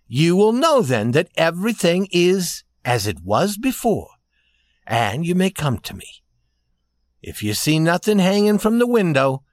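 A man's voice: high voice, dry recording, studio quality, voice pushed up. A man voicing a resolute young lady in a storybook, speaking formally and firmly. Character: firm young lady deciding on her unfaithful fiancé.

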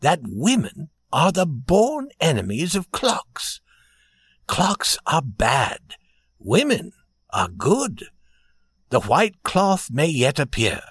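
A grand, ragged storybook prince played by a man, lofty and sure of himself. His voice rides high and swings widely as he gives out counsel in a commanding, measured way. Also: sing-song, dry recording, clean studio sound, heavily acted. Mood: soft, assured. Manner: commanding, lofty, soft-spoken at times. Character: proud, grand, wise vagabond prince in torn clothes.

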